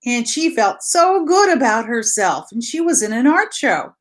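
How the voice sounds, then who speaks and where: A woman's voice: a little high, very animated, measured pace. A woman teacher leading a read-aloud lesson for young children, explaining simply with repetition and posing questions she answers herself.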